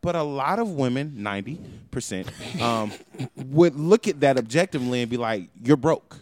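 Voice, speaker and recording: A man's voice: medium pitch, expressive, normal speed, darkish tone. A man hosting a podcast in casual chat, telling stories animatedly and swearing freely. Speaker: podcast host.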